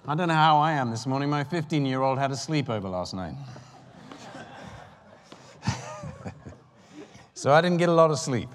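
A man's voice: fairly high pitch, big-room sound. A man in his fifties preaching a sermon in a chatty, self-deprecating way, with rambling asides. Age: 50s.

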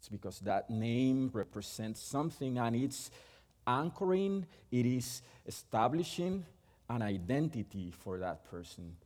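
A middle-aged man from Puerto Rico with a Puerto Rican accent, Spanish being his first language, preaching a sermon in a halting way with many restarts. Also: mid-range voice, sing-song, darkish tone, big-room sound.

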